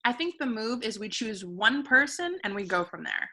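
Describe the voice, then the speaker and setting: A pragmatic woman assistant of about thirty played by an actress talks frankly and in a businesslike way. The voice is bright and slightly high, reading a comedy script. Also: very animated, moderate pace, heavily acted. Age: 30s. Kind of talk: comedy script table read.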